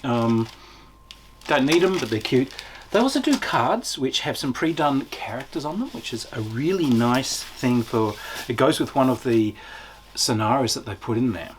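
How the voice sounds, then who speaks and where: A man's voice: mid-range voice, animated, normal speed, a little hiss or hum. A man giving a casual, unscripted review as a tabletop game reviewer, enthusiastic and emphatic, drawing out key words.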